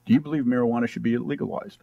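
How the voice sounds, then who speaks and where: A man's voice: medium pitch, steady tone, very fast, vocal fry, no echo, phone-quality sound. A man acting as debate moderator, putting questions to candidates in a conversational way.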